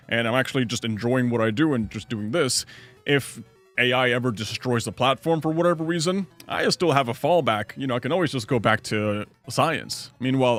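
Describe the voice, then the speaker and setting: A man's voice: medium pitch, fairly bright tone. A young adult man streaming from home, rambling casually through chat questions and answering his viewers. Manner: rambling, chatty, reads chat aloud and answers.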